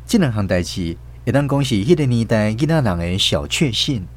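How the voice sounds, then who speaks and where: A man's voice: fairly low pitch, animated, normal speed. A man with a Taiwanese accent narrating a scripted radio story as its host. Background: soft plucked-guitar music.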